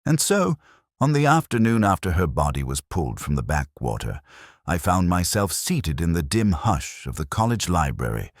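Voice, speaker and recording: A man with an extremely low voice reads a scripted mystery. His delivery is measured and brooding, with pitch that moves expressively through each literary sentence. The sound is dry and close, free of room echo. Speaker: narrator of a detective story. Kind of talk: scripted first-person mystery narration.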